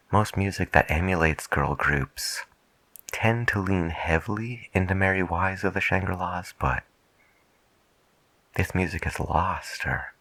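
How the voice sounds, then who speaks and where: Deep, slow, soft-spoken male radio host. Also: no room sound. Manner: measured, reflective, hushed. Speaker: late night radio host character in an ASMR roleplay.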